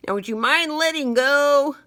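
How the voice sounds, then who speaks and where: Woman voicing a high-pitched stubborn cartoonish chameleon guy. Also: bright, forceful tone. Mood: indignant. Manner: exaggerated, drawn-out, bickering. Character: squabbling male chameleons, stubborn, proud, excitable.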